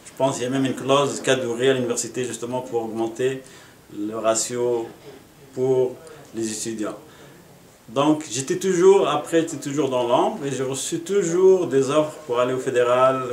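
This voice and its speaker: A middle-aged man from Morocco, a municipal election candidate, answering interview questions in an earnest, measured way with frequent pauses. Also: mid-range voice. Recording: echoey room.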